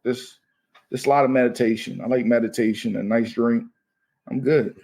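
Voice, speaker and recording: A man's voice: medium pitch, steady tone, moderate pace, creaky, darkish tone, slightly rough. A man in his thirties from Maryland, a comedian guest talking casually and loosely, often saying "you know what I mean.". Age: 30s. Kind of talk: casual podcast chat.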